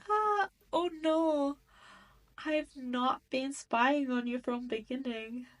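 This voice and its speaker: A woman playing a cruel, gloating demon queen in a scripted audio roleplay, condescending and taunting. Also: a little high.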